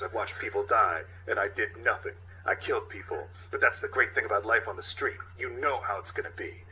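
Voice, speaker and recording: A man's voice: lowish voice, sharp tone, a little hiss or hum, low-quality recording. A man playing a threatening, grandiose undercover cop in a comic cop show script read aloud. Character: menacing hard-boiled male undercover cop, comic impression.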